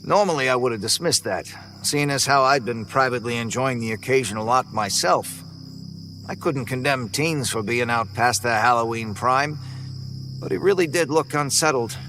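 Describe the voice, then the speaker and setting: A man narrating a first-person horror story in a relaxed, folksy way, over faint music. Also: medium pitch, normal speed.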